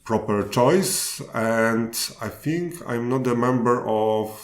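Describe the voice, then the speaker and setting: A man with a Polish accent answering interview questions in unhurried, halting English, starting sentences over now and then. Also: medium pitch, reverberant. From Poland. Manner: slow, halting answers with restarts. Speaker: guest speaker. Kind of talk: podcast interview.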